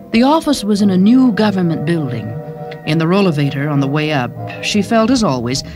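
A woman's voice: low voice, darkish tone. Woman narrating a story over music. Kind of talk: scripted short-story narration.

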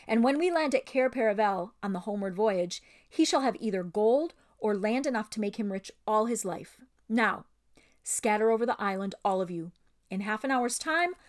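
A woman's voice: mid-range voice, lightly acted. A woman voicing a haughty young king in a storybook, giving regal, formal, stirring speeches. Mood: impassioned, commanding.

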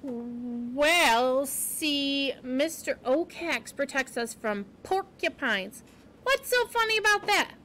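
A timid young female mouse from a children's storybook, voiced by a woman reading aloud. She sounds naive and easily flustered, speaking hesitantly in a high, bright voice. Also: very slow, hardly any pauses, a little hiss or hum, exaggerated voice. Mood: earnest, puzzled. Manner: hesitant, stammering, earnest.

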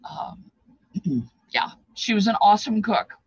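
A woman's voice: medium pitch, sing-song, measured pace, slightly rough, dry recording, highs cut off. An older woman talking informally and reflectively, explaining things in a rambling way with bits of reminiscence. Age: older, past fifties. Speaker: presenter explaining feast dishes. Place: small cluttered craft room, indoors. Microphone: webcam at desk distance.

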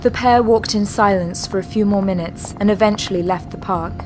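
A woman's voice: medium pitch, brisk, music in the background. A woman narrator reading a scripted audio drama in a dramatic storytelling style.

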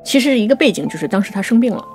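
A woman's voice: a little high, expressive, very fast, a little mellow, soft background music. A woman co-hosting a talk on poetry, explaining things in a reflective, conversational way. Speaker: podcast writer and co-host explaining poetry. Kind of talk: conversational literary discussion.

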